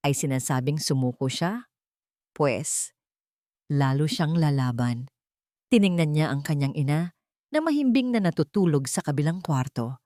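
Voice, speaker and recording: A woman's voice: low voice, darkish tone, no echo, clean studio sound. A woman reading a dramatic scripted story as an audiobook narrator.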